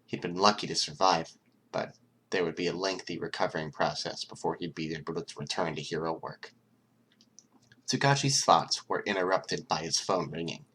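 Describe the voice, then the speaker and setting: Low, husky storyteller reading fiction. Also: fairly bright tone. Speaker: audiobook narrator. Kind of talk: scripted fiction narration.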